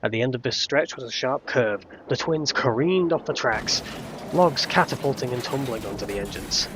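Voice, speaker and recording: A man narrating a scripted storybook tale in measured phrases with dramatic emphasis. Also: mid-range voice, animated, a bit bright, a little hiss or hum.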